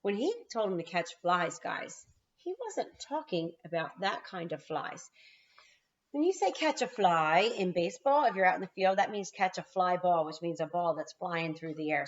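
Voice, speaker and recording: A woman's voice: fairly low pitch, animated, normal speed. A woman kindergarten teacher reading a storybook aloud, with explanatory asides to young children, on slightly lo-fi audio.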